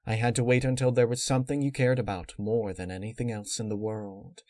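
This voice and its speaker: A man voicing a cold, calculating female unicorn villain who explains menace in a measured, matter-of-fact way. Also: on the low side, level tone, mellow, close to an everyday voice. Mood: menacing.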